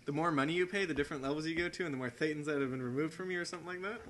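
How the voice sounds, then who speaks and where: A man's voice: on the high side, racing, a bit bright. A man in casual conversation on a podcast, explaining things informally. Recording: noisy home podcast recording.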